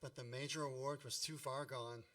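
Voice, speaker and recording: A man's voice: medium pitch, steady tone, moderate pace, recorded from a distance, background noise, natural voice. An actor narrating a radio play as a wry grown man recalling boyhood with mock-grand melodrama.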